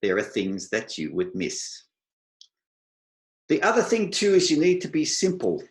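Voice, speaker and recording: A middle-aged Australian man giving an advice talk to an online meetup, speaking slowly and clearly with dry humour. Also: a little high, wildly swooping pitch, echoey room. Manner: slow, deliberate, clear delivery for non-native listeners.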